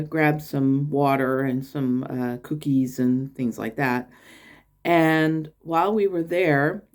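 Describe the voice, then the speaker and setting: An American woman telling a casual travel story in her vlog, rambling with fillers and restarts. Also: a little mellow. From United States. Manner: acts out quoted people in a higher voice. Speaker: channel host.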